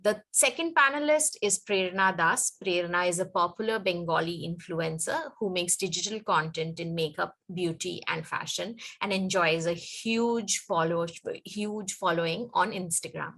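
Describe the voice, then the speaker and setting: A woman delivers a formal, scripted introduction. Her voice sits low and gravelly, with a sharp, bright edge to it. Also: normal speed, hardly any pauses. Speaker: event presenter.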